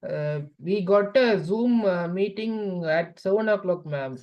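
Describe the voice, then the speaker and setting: A woman's voice: mid-range voice, lively intonation, measured pace. Polite woman student on muffled call. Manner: deferential, brief, says ma'am. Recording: dull lo-fi call audio.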